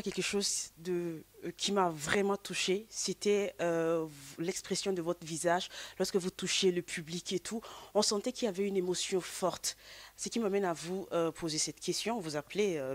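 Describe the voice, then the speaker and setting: A woman interviewing a singer, asking measured questions. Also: on the low side.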